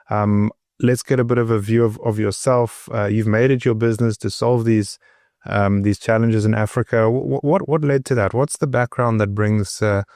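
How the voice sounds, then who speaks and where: Low-voiced man hosting an interview. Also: darkish tone, dry recording, clean studio sound. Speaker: podcast host. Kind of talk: interview questions.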